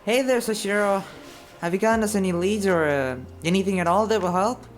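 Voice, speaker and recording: A man's voice: sits high, brisk, soft background music, natural voice. A man playing a polite, earnest young fox-spirit detective's partner in an audio roleplay drama, speaking carefully. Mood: businesslike.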